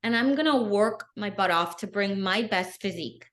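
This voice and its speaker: A middle-aged woman, a guest on an interview podcast, telling her story in an earnest, animated way. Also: mid-range voice, normal speed. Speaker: guest, bikini athlete. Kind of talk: casual interview.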